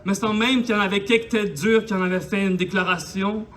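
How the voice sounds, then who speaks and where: A man's voice: high voice, steady tone, a little fast, fairly bright tone, slight background noise. A man with a Quebec French accent reciting impassioned spoken-word poetry in a colloquial, declamatory way at a public celebration. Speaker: poet. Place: outdoors in a park.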